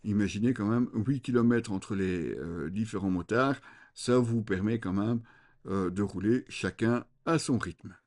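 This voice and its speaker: A man giving an unscripted, conversational product review, explaining things to viewers with frequent hesitant pauses. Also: lowish voice, a little mellow. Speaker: motorcycle gear reviewer.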